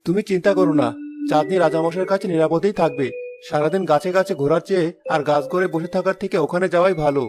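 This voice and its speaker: Guy doing a firm cartoon dad, slightly high voice, talks quick, music behind. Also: steady tone, close to an everyday voice. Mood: reassuring. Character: firm, reasonable fatherly man of fairy tale. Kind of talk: animated fairy-tale voice acting. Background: background music.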